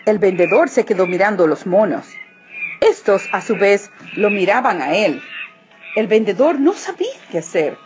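A woman narrating a children's story expressively, with deliberate, dramatic phrasing. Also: medium pitch, expressive. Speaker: storybook reader. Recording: muffled audio with music behind.

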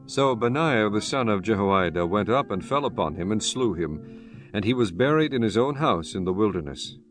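A man with a fairly low voice narrates scripture as a solemn, formal story. It is a scripted dramatized reading with soft music underneath. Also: expressive, moderate pace. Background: soft background music.

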